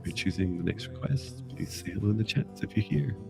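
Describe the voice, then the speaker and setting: Low, gravelly man chatting casually. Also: a little fast, over music. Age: middle-aged. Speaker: psychic mentor hosting a live stream. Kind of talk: casual live-stream chat.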